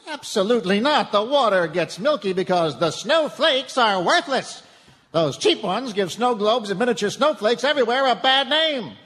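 Excitable folksy small town cafe pop, high voice all over the place, echoey hall. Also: male voice. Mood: indignant, proud. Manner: folksy, chuckling, hammy. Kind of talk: comic live radio play acting. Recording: echoey live theatre hall.

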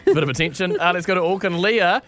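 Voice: medium pitch, fast, a bit bright. A radio host with a New Zealand accent, introducing callers with short, friendly reactions. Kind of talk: casual radio chat.

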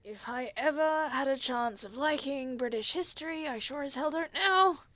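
A woman's voice: high-pitched, normal speed, fairly bright tone, no echo. A woman playing a sarcastic, exasperated and wary young adventurer in an audio drama, with a blunt manner. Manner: sardonic, blunt.

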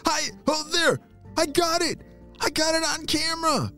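A woman's voice: lowish voice, big pitch swings, normal speed, a little mellow, faint music behind, voice pushed up. A woman voicing an eager, curious young boy in a children's story, with exclamations and hesitant stammers. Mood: excited.

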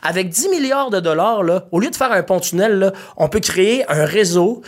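A man's voice: high voice, expressive, moderate pace. Young man, Quebec French accent, passionate, swears casually, lots of fillers, casual chat.

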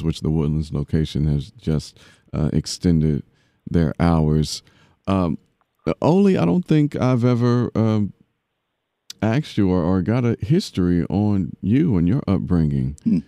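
A man's voice: sits low, unhurried, warm tone, dry recording, clean studio sound. A man hosting a public affairs podcast, interviewing a guest in a casual, affirming way with frequent fillers. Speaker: podcast host.

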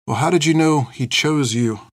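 A man's voice: on the high side, big pitch swings, brisk, no echo, pitched above the performer's own voice. An inquisitive young girl, voiced by a male narrator, stubborn and earnest in her questioning. It is an audiobook character part, recorded dry and close. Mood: hushed, troubled.

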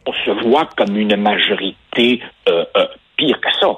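A man's voice: fairly low pitch, animated, a little slow, a bit bright, husky, dry recording. A man whose first language is Spanish, speaking Quebec French as a passionate radio commentator who repeats words while building his points. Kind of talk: opinion talk on radio.

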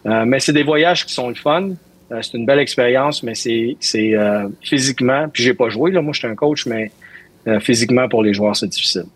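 A man's voice: mid-range voice, brisk, husky, no echo. A man from Montreal with a Quebec French accent answering interview questions as a hockey coach, hesitating and repeating words as he searches for them.

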